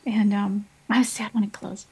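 Husky-voiced woman painter guest. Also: mid-range voice, little pitch movement, normal speed. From Chicago. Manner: friendly, hesitant, with light humor. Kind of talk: radio interview.

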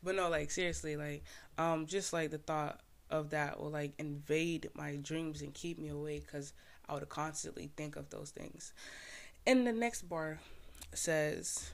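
A man's voice: fairly high pitch, steady tone, measured pace, gravelly. A young man from Haiti, a podcast host and poet, casually explaining his own poem in laid-back slang full of "like" fillers.

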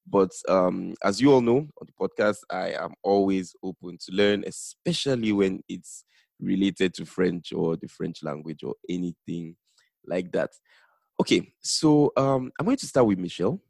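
A man's voice: low voice, animated, moderate pace, vocal fry, darkish tone, dry recording, studio quality. A man hosting a podcast and quizzing his guest in a playful, teasing way. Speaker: podcast host. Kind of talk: casual podcast interview.

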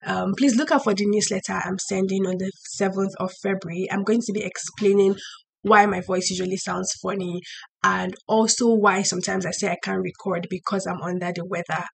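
A young woman hosting a faith podcast, talking in a chatty way with a hoarse voice and laughing between points. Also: mid-range voice. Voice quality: husky. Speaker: podcast host. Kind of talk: faith-based casual podcast talk.

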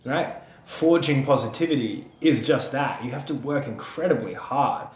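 A man's voice: medium pitch, moderate pace. A young man hosting a podcast, speaking earnestly and encouragingly in long, building sentences. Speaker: podcast host. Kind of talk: reflective podcast conversation. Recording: roomy echo.

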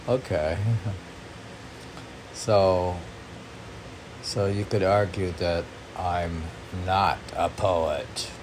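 A man's voice: low-pitched, creaky, a little mellow, breathy edge. A man reading and discussing his own poetry as a podcast, rambling and questioning himself aloud. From United States. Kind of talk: rambling self-questioning monologue. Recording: noisy room with air-conditioner hum.

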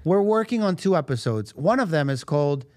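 A man with a Puerto Rican accent co-hosts a casual podcast, talking in animated bursts with restarts and trailing lines. His voice sits a little high. The sound is close and dry. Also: a little fast, no room sound. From Argentina. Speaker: podcast co-host. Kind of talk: casual chat.

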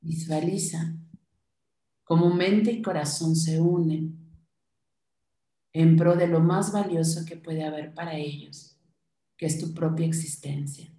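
Slow, low-voiced woman guiding meditation. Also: fairly even intonation, mellow, echoey room, poor audio. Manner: soothing, instructing in short phrases with pauses. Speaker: guided meditation leader. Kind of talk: guided meditation. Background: music.

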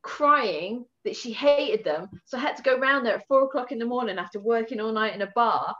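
A woman's voice: on the high side, fairly quick, a bit bright, dull audio. A woman who works as a tattoo artist, chatting casually as a guest, telling stories, swearing and laughing. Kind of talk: casual chat.

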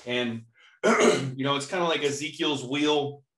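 A hoarse man giving an informal Bible teaching in a relaxed, chatty way, often clearing his throat. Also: mid-range voice, a little slow. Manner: relaxed, chatty, with light laughs. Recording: roomy echo.

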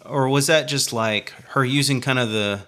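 Man, podcast co-host, casual chat, laid-back and joking, bright voice. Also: mid-range voice, normal speed.

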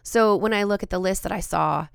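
A woman hosting a devotional podcast, her voice raspy and hoarse from a cold, speaking earnestly with frequent ums and restarts. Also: medium pitch, fairly quick, dry recording, studio quality. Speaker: podcast host and Bible teacher.

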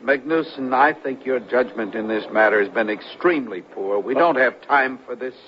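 A man's voice: mid-range voice, moderate pace, slight background noise, natural voice. A man acting in a 1970s radio drama as a self-important government official, stern and curt. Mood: irritated, stern. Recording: muffled old radio broadcast recording.

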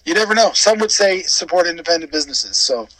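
A man with a slightly high voice talks as a radio guest. He is chatty and casual, telling stories with plenty of asides, and the sound is dry and close. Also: sing-song, fast, a bit bright, no echo, low-quality recording. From born in Wales, grew up in Canada. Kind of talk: casual chat and storytelling.

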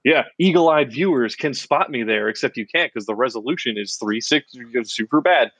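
A man's voice: medium pitch, animated, moderate pace, fairly bright tone, slightly rough, no room sound. A middle-aged American man co-hosting a podcast, telling stories in a rambling, self-mocking casual chat. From United States. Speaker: podcast co-host.

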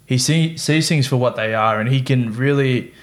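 A man's voice: medium pitch, moderate pace. A young man, a podcast guest, talking casually and rambling through fillers and restarts. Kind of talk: casual chat.

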